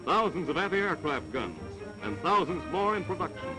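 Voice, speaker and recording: A male newsreel announcer from the United States, his voice pitched somewhat high and driven with stirring emphasis. He reads a patriotic script in vintage style. Loud music runs under him throughout the old recording. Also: sing-song, moderate pace. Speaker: newsreel narrator.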